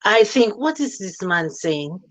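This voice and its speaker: A woman's voice: mid-range voice, big pitch swings, unhurried, fairly bright tone. A woman born in Jamaica and raised in England, a nurse telling her story earnestly in an interview over a video call. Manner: earnest storytelling, slips into quoting others. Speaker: guest, nurse running a charity.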